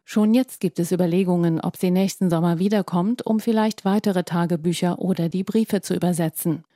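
A woman's voice: fairly low pitch, steady tone, a little fast, warm tone, no room sound, clean studio sound. A woman reporter reading scripted narration for a news feature.

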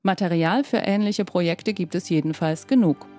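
A woman's voice: fairly low pitch, moderate pace, darkish tone, faint music behind. A woman reporter reading scripted narration for a news feature.

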